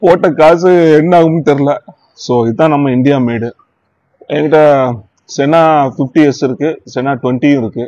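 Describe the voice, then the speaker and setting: A man's voice: fairly high pitch, moderate pace, pauses often, warm tone, no room sound, muffled recording. A man with a Tamil Nadu accent chatting casually as he reviews gear, mixing English words into his talk. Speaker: motorcycle vlogger reviewing gear. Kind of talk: casual product review chat.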